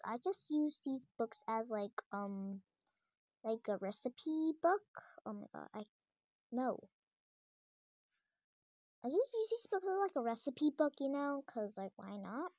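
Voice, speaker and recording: A young girl with a high voice chats her way through a tour of her game house, rambling and restarting often. She films the tablet screen from close up in a dry, close-sounding room. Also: very animated, a little slow, a little mellow, no room sound. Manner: chatty, rambling, many fillers and restarts. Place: indoors, filming a tablet screen.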